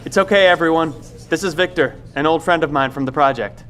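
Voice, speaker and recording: An actor playing an anxious, protective middle-aged scientist leading survivors, speaking in an urgent, earnest, naturalistic audio drama style. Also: male voice, a little high, a little fast, fairly bright tone, a little hiss or hum, lightly acted. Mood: composed, firm.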